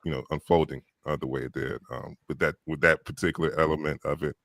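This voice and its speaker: Deep-bass male narrator as live-chat guest, relaxed and rambling, dry close recording. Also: fast talker, creaky, dry recording. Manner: loose, rambling, peppered with "you know" and "right".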